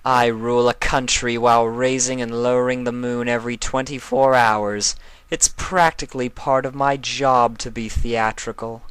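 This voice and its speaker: A man voicing a stern, haughty female dark alicorn villain in a storybook reading, with a dry, sardonic manner. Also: mid-range voice, level tone, normal speed, clean tone, dry recording, voice pushed up, lightly acted, poor audio. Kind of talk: fan fiction character reading.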